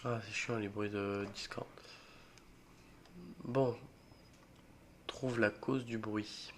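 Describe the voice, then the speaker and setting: A young man with a cold, voice a bit rough, joking and getting spooked as he plays a horror game for his channel. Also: lowish voice, steady tone, extremely slow, a bit bright, slight background noise. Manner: jokey, easily spooked, mutters reactions and swears. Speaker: gaming video creator. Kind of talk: solo horror game let's play commentary.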